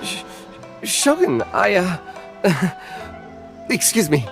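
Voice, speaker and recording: A man's voice: sits high, big pitch swings, drawn-out words, full character voice. A man playing a humble, earnest street vendor in a video game, deferential and a little hesitant as he explains himself. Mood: nervous, flustered. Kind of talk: game character acting. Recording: clear music behind the voice. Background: game music.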